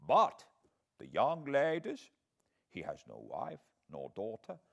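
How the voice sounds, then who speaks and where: Slow, courtly old professor. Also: male voice, mid-range voice, wildly swooping pitch, creaky, highs cut off, pitched above the performer's own voice, heavily acted. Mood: gentle, coaxing. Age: older. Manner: slow, deliberate, halting broken-English phrasing. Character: elderly courtly foreign professor, kindly, grave, wise. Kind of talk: audiobook character voice.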